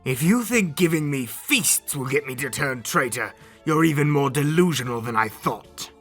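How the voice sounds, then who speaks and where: A man's voice: mid-range voice, animated, moderate pace, fairly bright tone, soft background music. A man playing a proud, menacing alien warrior prince, haughty and boastful in a dramatic fan audio drama. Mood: scornful.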